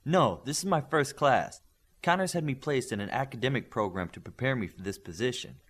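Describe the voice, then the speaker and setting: A man's voice: medium pitch, animated, a little fast, fairly bright tone. A man voicing a poised young female teacher in audiobook dialogue, formal, precise and self-assured.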